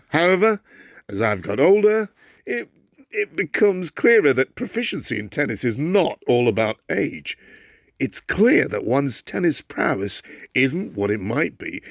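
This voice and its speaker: A man from Bangladesh reading a humorous memoir aloud in an expressive, theatrical storyteller's manner. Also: mid-range voice, no echo, studio quality. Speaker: actor reading a book aloud.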